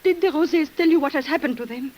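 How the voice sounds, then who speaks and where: A woman's voice: high-pitched, fast talker, a little mellow, lightly acted. A woman playing an agitated, prying young mademoiselle with insistent questioning in an old radio drama. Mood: frantic. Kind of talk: 1950s radio drama acting. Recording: noisy old radio recording.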